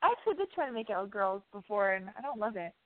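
A woman's voice: mid-range voice, big pitch swings, racing. Candid woman chatting over phone-like sound. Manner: candid, joking, profane. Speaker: host. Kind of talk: casual chat. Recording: thin, phone-like narrowband sound.

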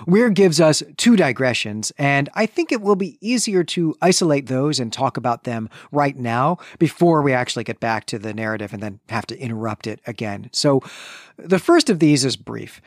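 A man's voice: mid-range voice, no room sound, studio quality. A man co-hosting a book podcast, methodically summarizing and reflecting on a novel's chapters. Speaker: podcast co-host. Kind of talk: casual book discussion.

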